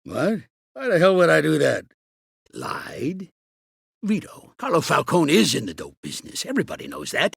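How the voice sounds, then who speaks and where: Raspy, gravelly older American mobster. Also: male voice, a little high, huge pitch swings, moderate pace, no room sound, clean studio sound, heavily acted. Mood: irritated, alarmed. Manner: blunt, slangy, commanding. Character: gruff, streetwise older mobster mentoring a young fighter. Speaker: voice actor. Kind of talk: video game character acting.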